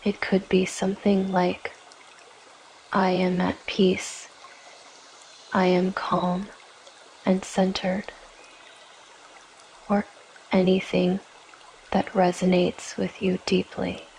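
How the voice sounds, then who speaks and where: Very slow, low-voiced woman guiding meditation. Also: completely flat delivery, creaky, a little hiss or hum, rough recording. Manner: gentle, soothing, measured with long pauses. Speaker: yoga nidra guide. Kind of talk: guided meditation, scripted narration.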